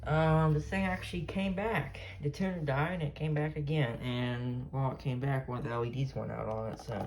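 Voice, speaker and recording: A man's voice: medium pitch, steady tone, a little fast. A man giving a casual, unscripted show-and-tell of his collection, rambling offhand and trailing off. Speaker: collector showing off a weather radio collection. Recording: noisy amateur recording with radios.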